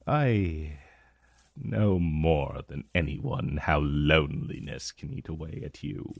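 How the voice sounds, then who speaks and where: An ancient male dragon acted out by a man speaks in a low, husky murmur, recorded close and dry. He is formal and silkily tender, with lingering pauses and a possessive, faintly threatening edge, as suits a romantic fantasy audio roleplay. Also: no echo, heavily acted. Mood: tender, confiding.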